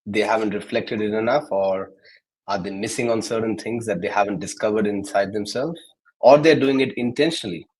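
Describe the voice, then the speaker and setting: A man's voice: fairly low pitch, brisk, darkish tone, slightly rough, poor audio. A man hosting a podcast, putting questions to his guest in a conversational way. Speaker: podcast host. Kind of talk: conversational interviewing.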